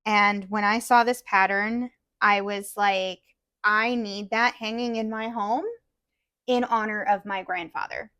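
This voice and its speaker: A woman's voice: mid-range voice, fairly even intonation, unhurried, pushed and bright, no echo. A woman co-hosting a casual stitching chat, rambling with fillers and restarts while losing her voice a little. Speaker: podcast co-host. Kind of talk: casual chat about cross-stitch projects.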